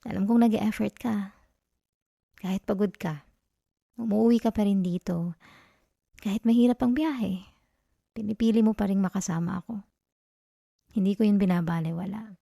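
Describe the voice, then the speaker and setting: A woman playing an affectionate, teasing young girlfriend in an intimate ASMR roleplay, talking in a soft, playful, cajoling way. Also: mid-range voice, steady tone, normal speed, gravelly, long pauses, dry recording, studio quality. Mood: gentle, earnest. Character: affectionate, teasing young live-in girlfriend comforting tired partner.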